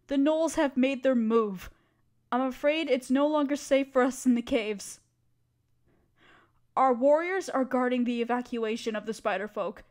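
A woman playing a gentle, anxious, motherly spider queen in an audio roleplay, speaking tenderly and pleadingly, with careful phrasing. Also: high-pitched. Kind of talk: scripted audio roleplay addressed to listener.

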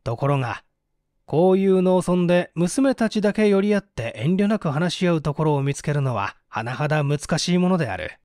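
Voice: medium pitch, animated, normal speed, a little mellow, dry recording, clean studio sound. A narrator reading a mystery novel aloud as scripted literary storytelling. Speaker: audiobook reader.

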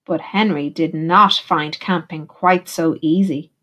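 A woman teacher reading a picture book aloud in an expressive, deliberate way for young children. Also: sits low. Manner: animated, deliberate, puts questions to young listeners. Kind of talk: expressive storybook narration for children.

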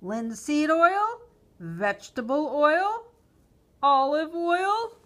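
A woman voicing a rough, impatient male shopkeeper who shouts, in a storybook reading. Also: high-pitched, big pitch swings, very slow, voice pushed up, exaggerated voice. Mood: irritated shouting. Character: impatient, gruff male bean seller.

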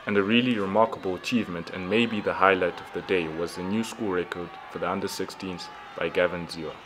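Deep-voiced male newsreel presenter. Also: steady tone, a little fast, a crowd in the background, background noise. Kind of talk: scripted news narration.

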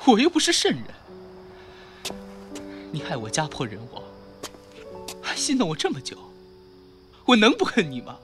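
An actor playing a bitter, wounded young former doctor in an audio drama. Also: male voice, high voice, huge pitch swings, moderate pace, pauses often, a bit bright, heavily acted. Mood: anguished, angry. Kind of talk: Chinese audio drama acting. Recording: music behind the voice.

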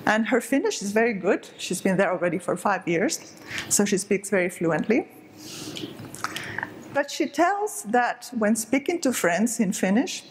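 A woman's voice: medium pitch, a little hiss or hum, poor audio. A middle-aged woman from southern Spain, giving an explanatory, emphatic stage talk to an audience. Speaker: speaker. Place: dark stage with curtain.

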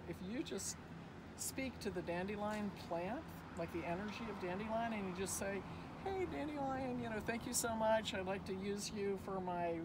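Older woman, earnest, chatty, gently spiritual, mid pitch, outdoor garden, phone camera, heavy traffic noise. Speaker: foraging class co-host. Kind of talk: outdoor class talk. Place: outdoor garden under a canopy.

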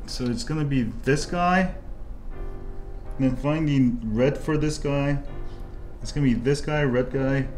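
A man's voice: a little slow, pauses often, faint music behind. Chatty man talking through a soldering job. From Korea. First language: Korean. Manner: chatty, jumps into excited high exclamations and mutters asides. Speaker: 3D printing tutorial host. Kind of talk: casual hands-on tutorial. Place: indoor workbench. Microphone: off-camera, hands-only shot at workbench.

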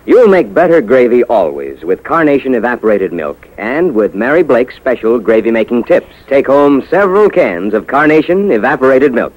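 A man's voice: mid-range voice, very animated, moderate pace, hardly any pauses. An American man announcing in polished, emphatic scripted style on 1950s radio. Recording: dull vintage 1950s radio recording. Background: music.

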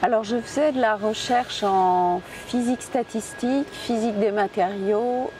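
A middle-aged woman from Tunisia, answering interview questions reflectively with pauses and restarts, on an outdoor café terrace with a lapel mic. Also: fairly high pitch, dark tone, slight background noise, rough recording. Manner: reflective, with frequent hesitations and restarts. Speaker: theatre director and physicist.

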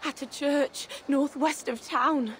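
A fearful village woman worn out by sleeplessness in a game cutscene. Her high voice moves slowly and tremulously, as if begging, against clearly audible background noise. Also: fairly even intonation. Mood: haunted. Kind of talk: dramatic video game cutscene acting.

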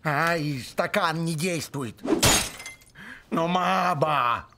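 A man voicing a grumpy, scheming old villain in an animated film, querulous and exasperated. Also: fairly high pitch, very slow, very noisy. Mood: whiny. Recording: loud music behind the voice.

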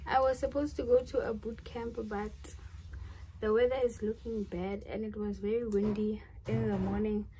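A woman's voice: mid-range voice, level tone, moderate pace, dark tone, slight background noise, low-quality recording. A young woman from South Africa chatting casually in a vlog, giggly and thinking aloud as she goes. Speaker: vlogger.

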